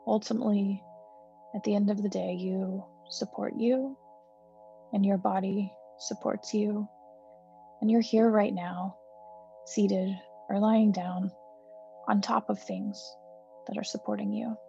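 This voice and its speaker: A woman meditation teacher guiding a healing breath meditation in a soft, slow, soothing way with gentle pauses. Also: mid-range voice, steady tone, gravelly. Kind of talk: guided meditation. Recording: slightly dull recording with soft music.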